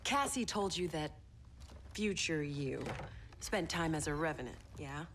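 A woman's voice: sits low, animated, measured pace, fairly bright tone, slight background noise, exaggerated voice. A woman with an American accent acting an earnest, worried young soldier in a video game cutscene. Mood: matter-of-fact. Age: young adult.

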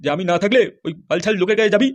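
A man's voice: high-pitched, racing, faint music behind, close to an everyday voice. A man voicing a furious, foul-mouthed politician husband in a comedy cartoon, scolding and accusing. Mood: outraged.

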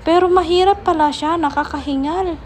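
Filipino lady doing a chatty vlog voiceover, really high voice, some noise behind her. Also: moderate pace. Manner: chatty, repeatedly addressing viewers. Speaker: vlogger. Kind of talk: casual vlog voice-over narration.

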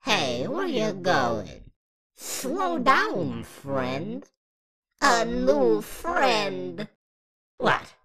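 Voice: medium pitch, wildly swooping pitch, drawn-out words, a crowd in the background, full character voice. A performer voicing a menacing, playful jack-in-the-box monster for fan-made game lines, taunting in a sing-song, mock-friendly way.

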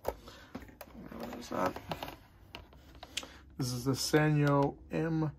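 A man's voice: on the high side, fairly even intonation, extremely slow, gravelly, a little mellow, slight background noise. A man casually showing off an item for sale at a table indoors, loose and chatty, trailing off and restarting his sentences, recorded on a phone camera. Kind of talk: casual product demo. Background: radio and tape music playing.

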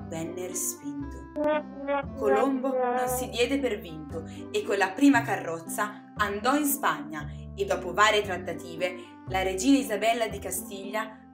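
A woman narrating a scripted children's story in an expressive, dramatic storytelling manner. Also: medium pitch. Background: music.

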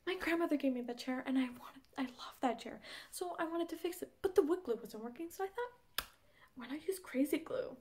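A woman's voice: high voice, brisk, a little mellow, natural voice. A woman in her twenties playing a frantic, exasperated young woman rambling to a friend by phone in a comedy monologue. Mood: flustered, exasperated. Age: 20s. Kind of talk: comedy monologue audition acting.

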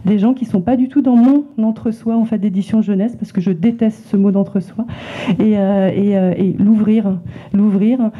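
A woman's voice: mid-range voice, steady tone, normal speed, husky. A woman illustrator presenting her work at a library talk, hesitant with many restarts. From France. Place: library event room with small audience. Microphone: handheld microphone passed around. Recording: tinny phone-like sound.

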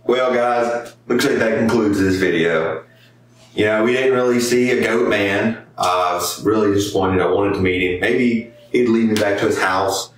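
A man's voice: on the low side, moderate pace. A young man hosting a vlog, talking casually and joking from inside a car at night. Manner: joking, swearing, taunting. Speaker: host. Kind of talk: casual road trip commentary. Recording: distant camera audio with loud noise.

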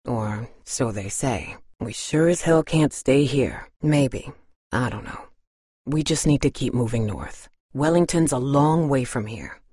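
An actress playing a tough, weary woman surviving an apocalypse in a video game, husky and blunt, speaking in a serious, terse way. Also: rumbling low, animated, measured pace, dark tone, no echo, clean studio sound, exaggerated voice. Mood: weary, bitter. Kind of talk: dramatic video game voice acting.

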